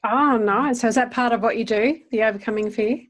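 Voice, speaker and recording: A woman's voice: on the high side, brisk. A woman hosting an interview podcast, introducing her guest and putting questions to them.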